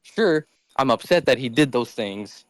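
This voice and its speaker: Casual male podcast speaker, mid pitch, frank and joking delivery, dry recording. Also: lively intonation, unhurried, no echo. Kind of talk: casual chat.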